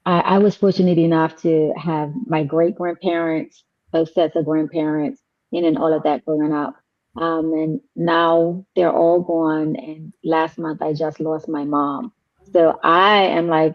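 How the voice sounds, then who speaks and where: A woman talks on a video call, thoughtful and full of ums. Her voice sits very low with a gravelly fry. The sound is dry and a bit muffled. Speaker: guest member. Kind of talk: casual video call chat. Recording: dull dry line.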